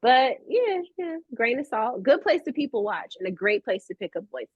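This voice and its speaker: A woman's voice: fairly high pitch, sing-song, moderate pace, breathy edge, no echo. Woman chatting casually, slightly dull dry audio. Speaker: voice actress, interview guest. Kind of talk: casual interview chat.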